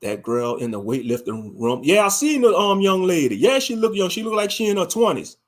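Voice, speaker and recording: A man's voice: high-pitched, sing-song, fairly quick. A man with a Louisiana accent talking casually as a livestream host, colloquial and given to repeating phrases for emphasis. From Louisiana, United States. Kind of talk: casual livestream commentary reading viewer comments.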